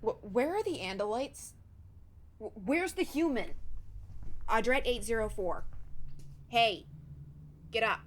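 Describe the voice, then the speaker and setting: A woman's voice: a little high, expressive, slow, long pauses, fairly bright tone. A woman playing a bossy, exasperated covert operative in an audio drama. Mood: brusque, weary. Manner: brusque, exasperated. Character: irritated, bossy covert operative cleaning up a crime. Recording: clear background noise and ambience.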